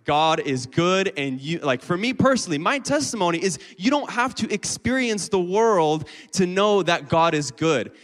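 A man's voice: high voice, expressive, moderate pace, few pauses, a bit bright. Young man preaching casually in a reverberant hall. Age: 20s. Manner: casual, chatty preaching with many fillers. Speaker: lay preacher. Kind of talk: sermon. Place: church hall.

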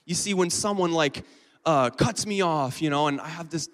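A man's voice: a little high, normal speed, a bit bright. Young man preaching casually in a reverberant hall. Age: 20s. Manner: casual, chatty preaching with many fillers. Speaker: lay preacher. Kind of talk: sermon. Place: church hall.

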